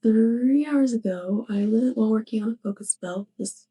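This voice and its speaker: A woman playing a young, clumsy, affectionate witch neighbor in a soft, hesitant ASMR roleplay monologue, speaking straight to the listener. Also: a little high, husky. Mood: sheepish, amused. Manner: hesitant, halting, giggly.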